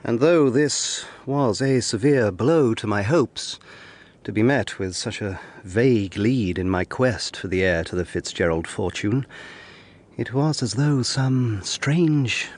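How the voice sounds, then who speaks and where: An actor playing an earnest young gentleman lawyer of the 1720s, formal and measured, narrating and acting in a period audio drama. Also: medium pitch, lively intonation. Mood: solemn, resolute.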